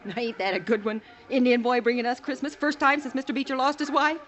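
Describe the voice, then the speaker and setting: A performer playing an excitable, simple young ranch hand in a vintage radio western, folksy and eager. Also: high-pitched, quick pace, lo-fi sound. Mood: giddy. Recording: vintage radio recording, crowd noise.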